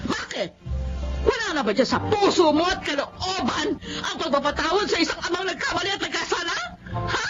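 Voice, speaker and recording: A woman's voice: very high-pitched, expressive, moderate pace. An actress playing a middle-aged, long-suffering mother who implores with strong emotion in a Filipino radio drama. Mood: tearful outrage. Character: pleading, long-suffering mother, protective of her husband. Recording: dull lo-fi with music behind.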